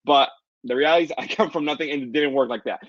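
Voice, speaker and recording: Man, Dominican accent, native Spanish speaker, rapid pushy webinar pitch, husky voice, dull dry lo-fi sound. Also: fairly bright tone, no room sound. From Dominican Republic. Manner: pushy, hyped sales patter with many fillers. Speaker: online marketing coach. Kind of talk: live webinar sales pitch.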